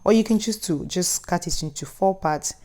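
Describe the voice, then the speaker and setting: A woman's voice: lowish voice, normal speed, a little mellow. A young woman with a Ghanaian accent, hosting a cooking tutorial in a friendly, instructive voice-over with chatty asides. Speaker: cooking channel host.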